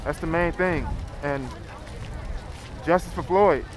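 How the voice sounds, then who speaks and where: A man in his twenties from South Dakota, speaking hesitantly with many pauses. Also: on the high side. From Aberdeen, South Dakota. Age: 20s. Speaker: protester, FedEx worker. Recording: crowd noise behind.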